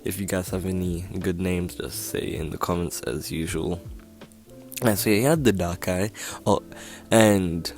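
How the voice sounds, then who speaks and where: A man's voice: sits low, a little slow, a little mellow, soft background music. A young man casually retelling a fan story as a YouTuber, rambling and correcting himself, in a voice he calls boring. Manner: rambling, lots of fillers and self-corrections; says he has a really boring voice.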